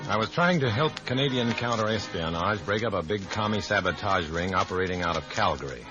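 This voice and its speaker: An actor playing a tough, wry undercover informant, terse and hard-boiled, in a 1950s radio drama. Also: male voice, low voice, animated, brisk, lo-fi sound, loud music behind the voice. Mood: tense narration. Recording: faintly noisy vintage radio recording.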